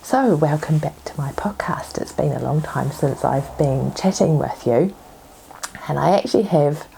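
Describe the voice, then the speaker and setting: A woman with a cold and a husky voice, chatting casually as host of her own podcast. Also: low-pitched, expressive, a little slow, a little hiss or hum, poor audio. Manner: chatty, rambling, soft asides. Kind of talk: casual chat. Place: home.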